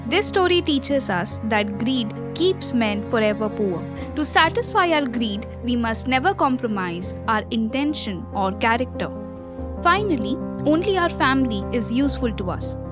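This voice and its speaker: A woman's voice: a little high, sing-song, moderate pace, music in the background. A woman narrating a scripted moral story for listeners.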